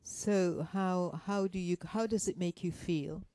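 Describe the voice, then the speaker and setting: Female workshop speaker, unhurried and soft-toned, gently coaxing an audience. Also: lowish voice, fairly even intonation, no echo. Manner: gentle coaxing, with rhetorical questions and endearments. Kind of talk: interactive motivational talk to an audience.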